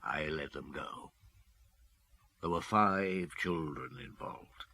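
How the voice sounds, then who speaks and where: An actor playing a calm, world-weary detective in a radio drama, asking terse, measured questions in a husky voice. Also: male voice, low-pitched, full character voice. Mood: weary, reflective. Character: calm, probing, world-weary middle-aged police detective.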